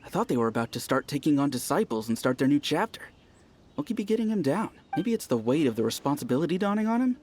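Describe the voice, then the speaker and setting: A man voicing a gossipy, easygoing villager in a video game, recounting events in a casual, animated way. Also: a little high, quick pace, a little hiss or hum. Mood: musing. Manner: animated storytelling.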